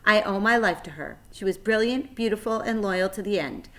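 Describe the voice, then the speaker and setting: A woman's voice: mid-range voice, normal speed, close to an everyday voice. A woman voicing an earnest, emotional young male pig in a storybook, with a pleading, heartfelt manner. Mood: solemn, tender.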